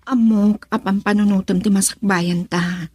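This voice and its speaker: Actress as eager young wife and daughter, Filipino accent, husky voice, dry close sound, radio drama. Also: female voice, medium pitch, steady tone, moderate pace, a little mellow, no room sound, natural voice. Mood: pleading. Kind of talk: Ilocano radio drama acting.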